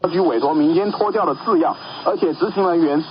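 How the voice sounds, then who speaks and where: A man's voice: fairly high pitch, quick pace. A man reading in a formal broadcast style over shortwave radio. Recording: noisy, dull shortwave radio reception.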